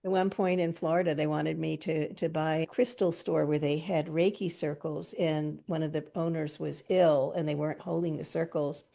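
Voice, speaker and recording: A woman's voice: low voice, fairly even intonation, moderate pace, creaky, few pauses, dark tone. A woman hosting a group video call, chatty and enthusiastic, telling stories and laughing often. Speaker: host.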